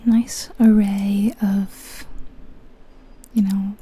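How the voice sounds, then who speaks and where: A woman whispering breathily in ASMR style, giving gentle, chatty commentary on a game as she goes. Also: medium pitch, level tone, extremely slow, breathy edge. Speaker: ASMR video host.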